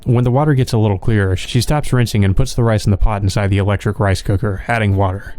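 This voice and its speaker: A man reading visual novel narration aloud for a let's play, in a plain, measured storytelling manner. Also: on the low side, fairly even intonation, a little mellow.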